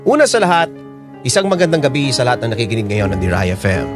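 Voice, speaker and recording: Man playing a fifty-year-old ex-overseas worker, radio drama narrator, lively pitch, music underneath. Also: quick pace, natural voice. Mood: reflective.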